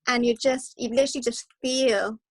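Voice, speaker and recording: An Irish woman speaking as a guest hypnotherapist, passionate and animated, with quick restarts. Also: on the high side, slight background noise. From Ireland. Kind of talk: casual interview talk.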